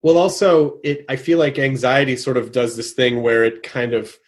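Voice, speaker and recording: A middle-aged man hosting a casual interview, thinking aloud with many restarts and growing animated when he acts out what someone might say. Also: mid-range voice, normal speed. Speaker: podcast host.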